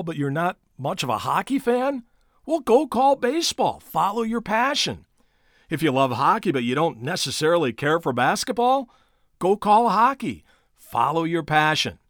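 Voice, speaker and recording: A man's voice: on the high side, fairly bright tone, no echo, clean studio sound. A man hosting a podcast, speaking in emphatic, motivational phrases as he presents and asks questions. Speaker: podcast host. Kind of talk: podcast monologue and interviewing.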